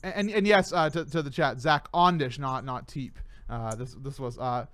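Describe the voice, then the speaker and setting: A man hosting a casual podcast chat, animated and full of stammering restarts. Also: mid-range voice, moderate pace, no echo. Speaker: host.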